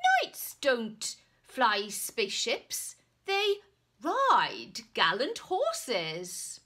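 A woman's voice: fairly high pitch, huge pitch swings, drawn-out words, sharp tone, full character voice. A woman voicing a pompous, indignant male knight from a storybook, blustering and spluttering. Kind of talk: storybook character voice.

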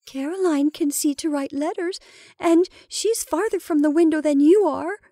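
A woman's voice: sits high, slow pace, no room sound, pitched above the performer's own voice, full character voice. A timid, agitated storybook woman, as a female narrator plays her, pleads in a raised, childlike voice. She sounds piteous and hesitant, as if close to choking, in a dry recording. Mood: defensive. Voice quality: hoarse, choked.

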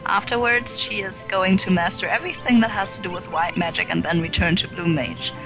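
A woman's voice: fairly low pitch, a little fast. A woman co-hosting a video game playthrough, casually explaining her plans and laughing along. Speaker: let's play co-host. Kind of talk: casual gameplay chat. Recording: game music underneath.

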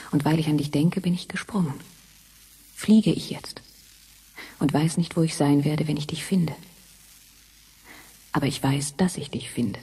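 An actress playing a dreamy young woman in a radio drama, speaking a hushed, breathy inner monologue with pauses. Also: low voice, exaggerated voice. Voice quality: breathy, husky. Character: dreamy young woman, test subject of a time-jump experiment. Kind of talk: German science-fiction radio drama monologue. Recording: long reverberant hall echo.

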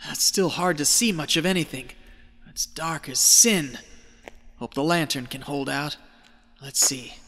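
A man's voice: on the high side, unhurried, a bit bright. A man acting a greedy, half-mad treasure hunter in an audio drama, talking to himself in folksy exclamations. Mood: wary, muttering. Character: feverish, greedy male treasure hunter descending into madness. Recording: echoey cave reverb with music behind.

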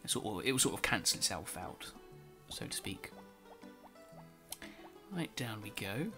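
Guy narrating his farming game, bit nasal, voice bouncing up and down, soft game music under it. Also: lowish voice, sing-song, unhurried. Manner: chatty, reads game text aloud. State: slightly nasal, sore throat. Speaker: let's play host. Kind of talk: casual gameplay commentary. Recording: faint music behind.

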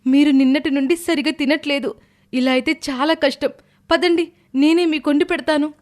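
Young daughter-in-law for story drama, female actor: high-pitched, respectful, gentle, caring. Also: steady tone, moderate pace, natural voice. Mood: caring, concerned. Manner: polite, soft-hearted.

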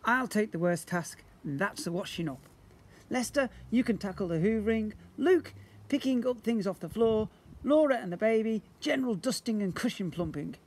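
A man's voice: high voice, lively intonation, normal speed, voice pushed up. A man voicing a cheerful, bossy father who barks orders like a sergeant while reading a children's storybook aloud. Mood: commanding. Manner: hearty, commanding, sergeant-like.

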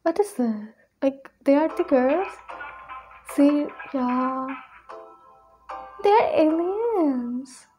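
High-pitched young Indian-accented woman. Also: lively intonation, extremely slow, long pauses, mellow, faint music behind, rough recording. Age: 20s. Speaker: reaction video host. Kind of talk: casual reaction commentary.

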